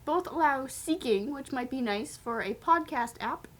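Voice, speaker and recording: Little cartoonish bird mascot, female, very high pitch, perky, cheeky, playful banter. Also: animated, unhurried. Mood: helpful, chipper. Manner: chirpy, playful, interjecting.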